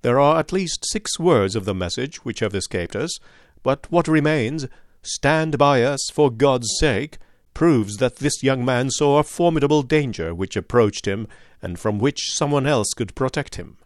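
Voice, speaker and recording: Incisive detective read by a man, measured, precise, dryly ironic, mid-pitched, dry close sound, audiobook. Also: expressive, no echo, natural voice. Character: calm, incisive adult male detective.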